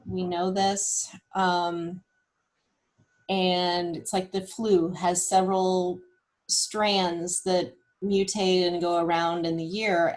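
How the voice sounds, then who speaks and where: Woman, lowish and unhurried, informal explainer on a group call. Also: a little mellow, low-quality recording. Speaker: nurse presenting health data.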